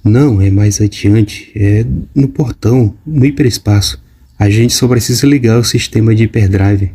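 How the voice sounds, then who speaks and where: A man's voice: lowish voice, normal speed, warm tone, husky. A man voicing a thoughtful alien time traveller in an audiobook, speaking hesitantly. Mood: matter-of-fact. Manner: hesitant, musing.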